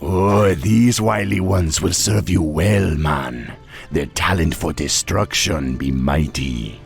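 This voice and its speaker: Troll chieftain, low creaky voice, Jamaican-style Caribbean accent, proud, easygoing, fantasy game. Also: male voice, slow pace, soft background music, full character voice. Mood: grave, urgent. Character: wily male troll tribe leader, easygoing and proud. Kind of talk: fantasy video game voice acting.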